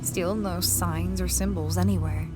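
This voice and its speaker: A woman's voice: fairly low pitch, steady tone, a little slow, over loud music, lightly acted. A woman playing a space explorer who recounts a strange expedition in a conversational, first-person audio drama style. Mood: reflective, curious. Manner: reflective, conversational storytelling.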